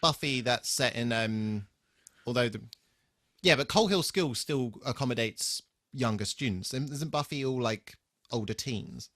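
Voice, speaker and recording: A man's voice: medium pitch, a little slow, a bit bright, no room sound, studio quality. A man co-hosting a podcast, discussing a TV episode casually in a jokey, sweary way. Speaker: podcast co-host.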